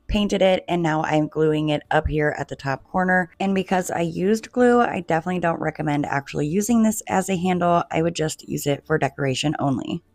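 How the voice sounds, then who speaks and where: A woman with a low voice talks viewers through a craft project, chatty and relaxed, often calling them "you guys". She is coming down with a cold and her voice is fading. The sound is dry and close, with no room echo. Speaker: DIY home decor creator. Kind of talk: casual craft tutorial narration.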